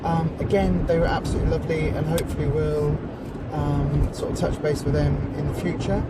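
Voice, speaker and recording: A woman's voice: low voice, steady tone, brisk. A woman in her forties chatting casually to camera as a passenger in a moving car, rambling and self-mocking with lots of fillers. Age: 40s. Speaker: vlogger, charity organiser. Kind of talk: casual vlog chat. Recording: loud car road noise. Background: engine and road noise.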